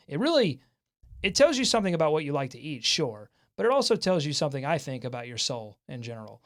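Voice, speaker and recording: A man with a fairly high-pitched voice hosts a sports talk show. He is excitable and jokey, keeping up lively banter with his co-hosts.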